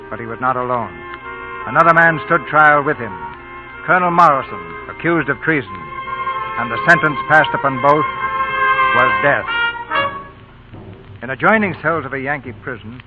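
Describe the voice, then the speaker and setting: A man giving scripted narration as host of an old-time radio drama. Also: mid-range voice. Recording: tinny old radio recording with music.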